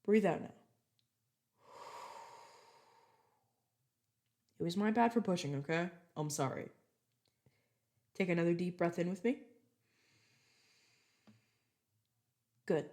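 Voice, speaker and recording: A woman's voice: fairly low pitch, lively intonation, a little fast, darkish tone. A woman playing a casual, reassuring vampire guide in an audio roleplay, talking informally to the listener as a mentor to a newcomer. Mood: soothing. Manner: chatty, informal, self-correcting, reassuring.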